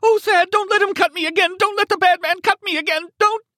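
A man's voice: very high voice, fairly even intonation, a little fast, pushed and bright, no echo, clean studio sound, voice pushed up. A man voicing a terrified, wounded woman who pleads in panicked shrieks. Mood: hysterical terror.

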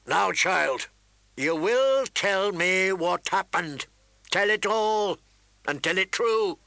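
A man's voice: high-pitched, lively intonation, slow, creaky, pushed and bright, dry recording, pitched above the performer's own voice, full character voice. A man voicing a burly, bearded king with a commanding, snapping, irritated manner in a storybook reading. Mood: stern command.

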